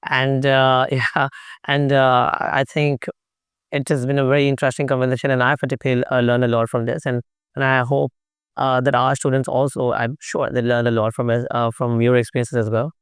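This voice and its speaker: A man interviews a guest in a halting, formal way, pausing often on "uh". His voice is smooth and clear but stays flat in pitch. The close, dry sound has no room echo. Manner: halting and formal, with many "uh" pauses. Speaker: podcast host, interviewer. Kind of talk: interview questions. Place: campus recording room.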